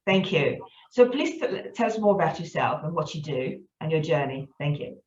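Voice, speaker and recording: A woman's voice: low-pitched, big pitch swings, normal speed, darkish tone. Woman podcast host in a roomy, dull recording. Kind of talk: formal welcoming podcast interview.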